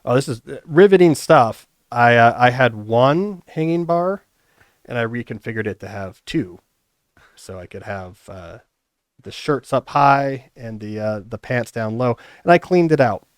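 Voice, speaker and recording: A man's voice: mid-range voice, animated, unhurried, clear, resonant tone, no room sound. Dry-sounding male podcast host. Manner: joking, conversational, loose restarts. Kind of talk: casual podcast chat.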